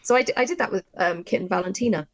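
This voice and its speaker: A woman presenting an informal writing workshop, talking through her method in an animated way and thinking aloud. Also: medium pitch, racing, no echo. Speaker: audio drama writer and workshop presenter.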